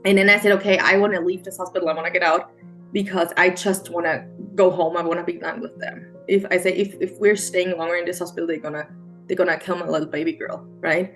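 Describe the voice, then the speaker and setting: A woman's voice: lowish voice, steady tone, faint music behind. German-accented woman telling a hard story. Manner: halting, restarts often, earnest and heartfelt. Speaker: guest, mindset coach. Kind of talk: emotional personal storytelling interview.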